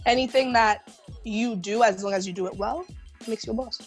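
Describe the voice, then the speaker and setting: A woman's voice: fairly high pitch, normal speed, husky, faint music behind. A woman in her twenties, born in Haiti, co-hosting a casual podcast and joking around with many laughs and ums. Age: 20s. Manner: laughing, joking, loose with fillers. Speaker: podcast co-host. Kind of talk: casual group podcast chat.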